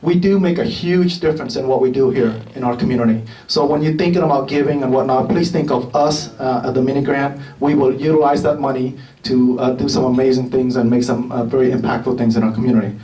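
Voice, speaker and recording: A man presenting grants at a community meeting, halting and filled with ums and little chuckles. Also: mid-range voice, steady tone, dark tone. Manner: halting, many fillers, chuckles between remarks. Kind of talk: casual presentation remarks. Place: meeting room with an audience. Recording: roomy hall from far off with crowd.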